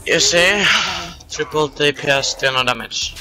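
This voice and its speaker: A man's voice: fairly high pitch, a little slow, sharp tone, rough recording. Man making game callouts over music. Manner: calls out plays, complains about teammates. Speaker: gamer streaming a match. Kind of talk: casual game commentary and team callouts.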